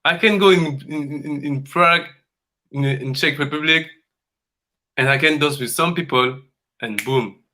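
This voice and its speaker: Forceful man, earnest coaching talk. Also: on the high side, low-quality recording. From Congo. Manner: earnest and emphatic, repeats phrases, halting non-native English. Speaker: dance coach.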